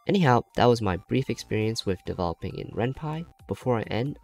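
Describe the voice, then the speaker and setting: Man, gravelly fry, lowish pitch, scripted developer tutorial voice-over, faint music underneath. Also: a little mellow. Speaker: YouTube game developer presenter. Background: faint background music.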